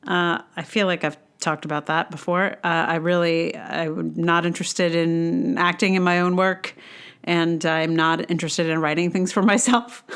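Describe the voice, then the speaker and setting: A woman's voice: on the low side, a bit bright, clear, resonant tone. A middle-aged woman hosting a podcast alone, musing casually in a hesitant, rambling way with self-deprecating humor. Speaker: podcast host, playwright and actor. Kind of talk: casual reflective solo podcast monologue.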